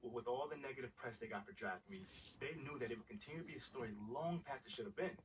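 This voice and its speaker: A man plays a young American male basketball star in a game cutscene, slangy and quick-spoken. He sounds confident and conversational, slightly off the mic with faint noise. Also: medium pitch, distant mic, lightly acted. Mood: earnest, candid. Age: young adult. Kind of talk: casual cinematic video game dialogue.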